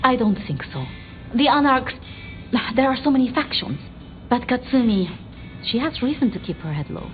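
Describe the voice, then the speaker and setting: A woman playing a timid, bookish vampire researcher in a video game, hesitant and apologetic, stammering over halting phrases. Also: fairly high pitch, big pitch swings, narrow, tinny audio, faint music behind. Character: timid, flustered, bookish female vampire researcher, devoted to her retainers. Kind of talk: video game dramatic dialogue.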